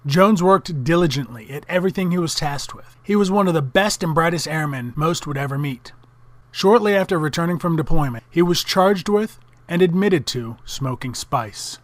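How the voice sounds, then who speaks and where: Male narrator for a military training film. Fairly high voice, formal measured read, very dry recording. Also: expressive, dry recording. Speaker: documentary narrator.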